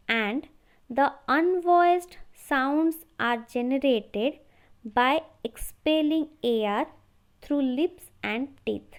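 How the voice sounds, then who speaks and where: A woman lecturer explaining technical theory from slides in a measured, instructional way. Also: high voice.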